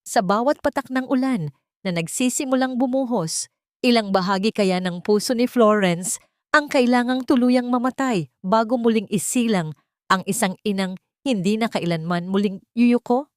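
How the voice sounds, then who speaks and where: A woman's voice: on the high side, moderate pace, no echo, clean studio sound. A woman reading scripted dramatic narration in a solemn, melodramatic storytelling manner.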